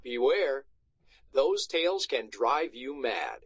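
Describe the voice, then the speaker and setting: A man reading a storybook aloud to schoolchildren in an expressive, dramatic narrating style, on a slightly muffled recording. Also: mid-range voice, slow, slightly rough, no echo.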